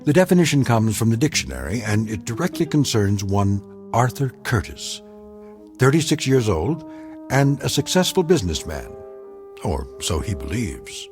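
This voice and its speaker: A grave American narrator of an eerie radio drama. His low voice rises and falls dramatically, measured and portentous. Faint music plays underneath. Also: a little mellow. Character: grave, ominous omniscient narrator of eerie tales.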